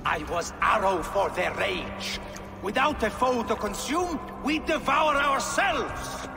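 Bitter fanatical commander in a game, slow grand speechifying, big reverb. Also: male voice, high voice, expressive, creaky, loud music behind the voice, heavily acted. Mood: bitter, defiant. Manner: grandiose, declamatory. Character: fanatical, bitter Viking-age archer commander and orator. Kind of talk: dramatic video game acting. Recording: noisy, long echoing reverb.

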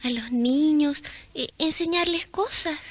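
A sweet little girl in a radio play. She speaks very high and slowly, shy and hesitant, with an innocent air. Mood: earnest. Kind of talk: radio drama acting.

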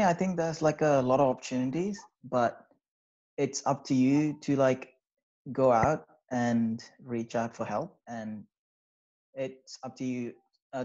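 A young man from Sri Lanka, an undergraduate student, answering interview questions casually with many fillers over a video call from a small bedroom. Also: medium pitch. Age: young adult. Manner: relaxed, hesitant, many fillers. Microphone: video call with wired earphones. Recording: slightly dull video-call audio.

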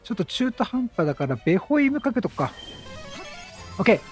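A man with a high, very lively voice doing casual game commentary. He is chatty and exclamatory, joking as he reacts out loud, with soft game music underneath. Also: fairly quick. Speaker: game streamer.

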